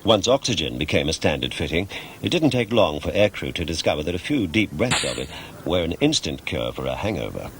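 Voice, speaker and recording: A man's voice: low voice, very animated, fairly quick, a bit bright, a little hiss or hum. A man reading scripted documentary narration in a formal, precise, factual manner.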